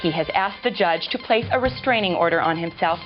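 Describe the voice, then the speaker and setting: An actress plays a female TV news anchor in a video game parody. She reads absurd stories with formal, deadpan polish. The audio is lo-fi and dulled, with music clearly running underneath. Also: fairly low pitch, a little fast, close to an everyday voice. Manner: deadpan, formal newsreader delivery.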